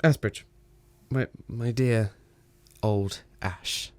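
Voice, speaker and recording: A man's voice: fairly low pitch, steady tone, very slow, a little mellow, no room sound. A man acting an ebullient, irreverent English engineer in charge in a 1920s radio play, with theatrical, bantering old-chap phrasing. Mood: coaxing, earnest. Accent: British.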